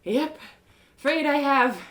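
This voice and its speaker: A woman's voice: sits high, animated, slow, fairly bright tone, pitched above the performer's own voice, heavily acted. A woman voicing an exhausted, indignant young woman adventurer in a story, stammering and pleading. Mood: nervous, protesting.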